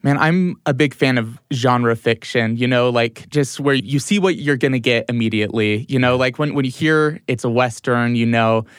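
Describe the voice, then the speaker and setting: A relaxed man chats as a studio guest, often repeating words as he gathers his thoughts. His voice is smooth and resonant, and the studio sound is dry and close. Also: mid-range voice, moderate pace, hardly any pauses, no echo. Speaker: guest writer and musician. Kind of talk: casual interview chat. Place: radio studio.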